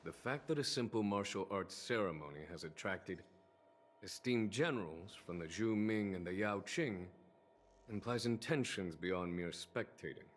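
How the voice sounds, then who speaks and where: An actor playing a composed, shrewd general in a video game, speaking in a formal, measured manner. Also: male voice, fairly low pitch, animated. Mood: polite, probing. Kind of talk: video game character dialogue. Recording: faint ambient noise behind.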